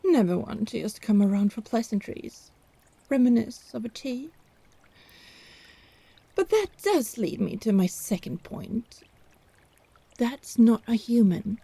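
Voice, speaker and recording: A woman's voice: on the high side, expressive, takes it slowly, vocal fry, long pauses, warm tone, slightly rough, no echo, full character voice. A woman playing a haughty, teasing country healer in a fantasy audio drama, arch and inquisitive.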